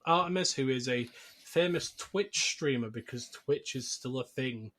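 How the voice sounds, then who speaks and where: A man's voice: medium pitch, moderate pace, a bit bright. A man hosting a podcast, casually recapping a film in a jokey, conversational way. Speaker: podcast host.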